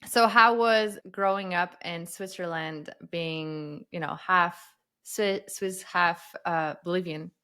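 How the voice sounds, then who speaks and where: A woman's voice: lowish voice, slow pace, bright, forceful tone, clear, resonant tone. A woman hosting a podcast, asking friendly, short questions with some fillers. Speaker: podcast host. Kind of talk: casual interview questions.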